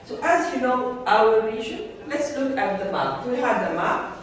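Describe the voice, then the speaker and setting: A woman professor from Egypt giving an earnest public talk, pausing often with fillers and restarts. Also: a little high, lively intonation, a little hiss or hum. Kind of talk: public talk to a seated audience. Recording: echoey room voice from far off, faint ambience.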